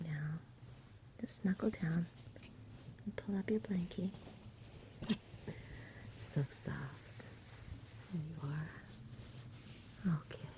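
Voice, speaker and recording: A woman reading in a gentle, soothing, unhurried ASMR bedtime-story style, very softly. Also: lowish voice, background noise. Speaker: ASMR reader and host. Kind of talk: soft whispered ASMR bedtime story narration.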